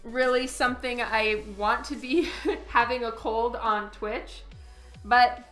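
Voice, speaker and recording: Hoarse woman with a cold, chatting. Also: fairly high pitch, steady tone, takes it slowly, fairly bright tone, soft background music. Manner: chatty, thanks viewers, laughs often. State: hoarse from a cold, voice partly lost. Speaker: digital comic artist streaming. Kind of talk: casual livestream commentary while painting.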